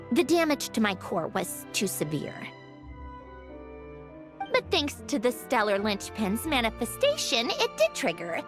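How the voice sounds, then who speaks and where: A woman's voice: high-pitched, a bit bright, heavily acted. A woman playing a proud, prickly mechanical puppet who explains things precisely and haughtily in a video game cutscene. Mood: prickly, matter-of-fact. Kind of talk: scripted game cutscene acting. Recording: music behind the voice. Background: game soundtrack music.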